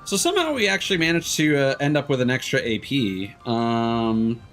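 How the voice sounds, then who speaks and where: A man's voice: mid-range voice, lively intonation, normal speed, a bit bright, soft background music. A man livestreaming a video game and chatting casually with viewers, hesitant and rambling, often trailing off. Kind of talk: casual chat with stream viewers.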